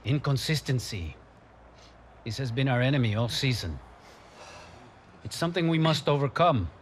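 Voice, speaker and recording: A man's voice: medium pitch, lively intonation, normal speed, a little hiss or hum, lightly acted. An actor playing a serious, resolute football coach addressing his players in a video game cutscene. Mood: rousing. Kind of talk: scripted game cutscene drama.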